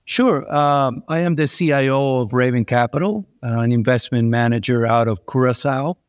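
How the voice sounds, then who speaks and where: A man's voice: mid-range voice, moderate pace, clear, resonant tone, no echo, clean studio sound. A middle-aged man from Venezuela, a guest answering interview questions with many fillers and restarts. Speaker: investment manager guest.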